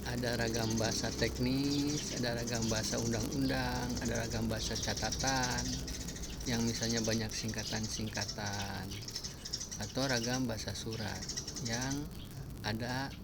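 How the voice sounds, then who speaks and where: A man giving a spoken lesson, listing examples one by one with frequent pauses. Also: mid-range voice. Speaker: language teacher. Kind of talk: spoken lecture on language varieties. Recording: clear background noise with birdsong.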